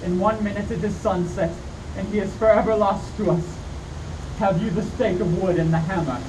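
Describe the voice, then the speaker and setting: A man's voice: sits high, steady tone, normal speed, close to an everyday voice. A man playing an authoritative older professor and vampire hunter, speaking formally and urgently in a radio play. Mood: urgent, commanding. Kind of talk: dramatic narration in 1930s-style radio play. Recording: distant mic, loud background noise.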